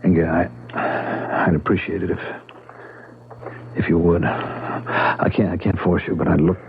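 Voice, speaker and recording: An actor playing an anguished, submissive man enslaved to a cruel friend, husky and halting in old-time radio drama style. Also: low voice, flat delivery, slight background noise. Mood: ashamed, reluctant. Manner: halting, confessional, pleading. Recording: dull, lo-fi radio drama recording.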